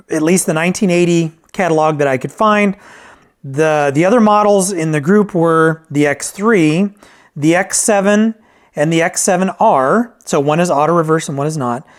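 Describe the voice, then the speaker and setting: A man talking casually through an unscripted product review, rambling and correcting himself, often checking in with "right?". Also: a little high. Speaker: reviewer and repairer of vintage tape decks.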